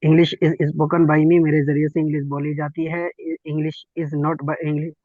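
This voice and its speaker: A man's voice: on the high side, monotone, dry recording. Hesitant man reciting over a tinny line. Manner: halting, restarts phrases. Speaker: student. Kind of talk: reciting grammar drills.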